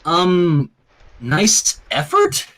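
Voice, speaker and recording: A man voicing a bossy, teasing cartoon teenage girl who briskly directs everyone. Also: sits high, wildly swooping pitch, a bit bright, exaggerated voice.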